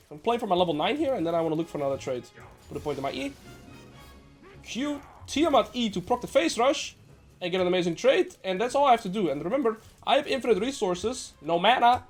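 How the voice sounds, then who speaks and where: Fast-talking, nasal man. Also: high-pitched. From Netherlands. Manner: brisk, explanatory, joking asides. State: slightly sick. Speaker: game coach. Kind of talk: gameplay commentary.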